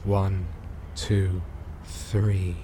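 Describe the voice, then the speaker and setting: A man's voice: low voice, level tone, extremely slow, noisy background. An actor playing a desperate young homeless man in an audio drama, breathless and chesty, pleading and confessional. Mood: weary. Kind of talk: audio drama acting, dialogue and monologue.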